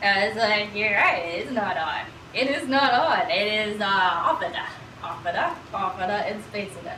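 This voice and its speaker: A woman's voice: mid-range voice, normal speed, sharp tone. A middle-aged woman chatting casually and playfully as she cooks on a livestream, rambling and often repeating her words. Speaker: cooking streamer. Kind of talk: casual livestream chat while cooking. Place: small indoor kitchen room. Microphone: distant, several feet away. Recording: roomy echo, distant mic, background noise.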